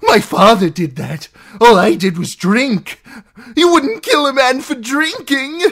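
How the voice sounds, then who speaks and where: A shrill, cowardly pleading lordling. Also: male voice, animated, takes it slowly, a bit bright, heavily acted. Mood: frantic self-excusing. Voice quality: shrill, squealing. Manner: frantic, stammering, self-excusing. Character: cowardly, pleading captive lordling, adult man, whining and shrill. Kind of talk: audiobook character acting.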